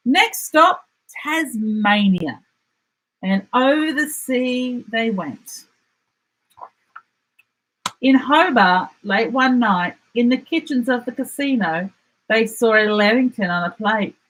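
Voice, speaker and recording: Husky, unhurried Australian woman storyteller. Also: a little high, rough recording. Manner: expressive, deliberate dramatic emphasis. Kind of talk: children's picture book read-aloud.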